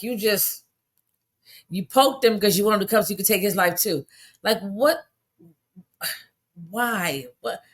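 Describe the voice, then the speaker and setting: A hoarse woman hosting her own podcast, talking in an animated, indignant and emphatic way. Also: medium pitch. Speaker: podcast host. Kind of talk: casual monologue podcast.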